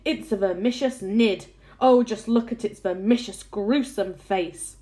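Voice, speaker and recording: A woman voicing an alarmed, bossy male chief of police in a children's storybook, shouting commands excitably. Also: mid-range voice, unhurried, natural voice.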